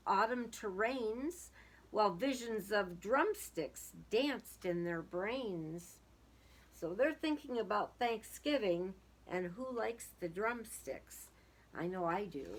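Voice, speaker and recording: A woman reading a picture book aloud to young children, dramatic in the story and casual in asides that put questions to the listeners. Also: fairly high pitch.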